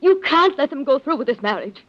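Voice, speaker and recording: An actress playing an intense, scheming heiress in old-time radio melodrama, speaking in a theatrical, emphatic way. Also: female voice, sits high, big pitch swings, fairly quick, fairly bright tone. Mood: agitated pleading. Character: scheming heiress, outwardly pleading and sympathetic, intense. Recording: muffled vintage radio recording.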